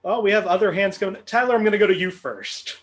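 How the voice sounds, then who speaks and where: A man's voice: sits high, quick pace. A man with a Midwestern accent hosting a trivia game, reading clues with playful, dry humor. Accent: Midwestern American. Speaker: game show host.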